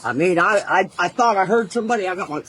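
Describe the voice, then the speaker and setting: A man being questioned by police, speaking informally and defensively, haltingly repeating himself in protest. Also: sits high, animated, a bit bright, husky. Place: outdoors in a park. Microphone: police officer's body camera. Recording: noisy outdoor bodycam, slightly off-mic.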